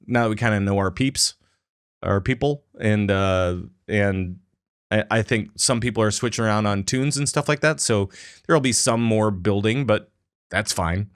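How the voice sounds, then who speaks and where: A man's voice: medium pitch, a bit bright, clear, resonant tone, no echo, studio quality. A man hosting a podcast, chatting casually about a game and rambling with many fillers and restarts. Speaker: podcast host. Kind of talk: casual gaming chat.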